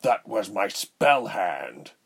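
A man's voice: fairly low pitch, sing-song, takes it slowly, vocal fry, husky, exaggerated voice. A man voicing a cruel, arrogant general in a fantasy storybook, with a menacing, commanding manner. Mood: shaken. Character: cruel, arrogant male general, wicked villain.

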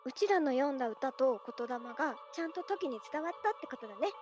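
A woman's voice: high voice, expressive, quick pace, darkish tone, faint music behind. A woman voicing a young, earnest, theatrical anime-style heroine in an amateur radio drama.

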